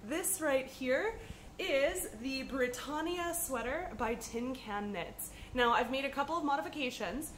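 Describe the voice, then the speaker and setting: A woman's voice: sits high, a little slow, a bit bright, slight background noise. A woman hosting a podcast, chatting casually to camera in an upbeat, rambling way, slightly sniffly from a cold. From United States. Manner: chatty and upbeat with frequent ums. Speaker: podcast host.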